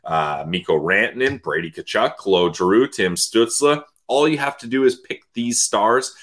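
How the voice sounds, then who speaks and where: Lower-voiced man co-hosting a hockey podcast. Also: moderate pace, a bit bright. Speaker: sports podcast co-host. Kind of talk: casual hockey analysis.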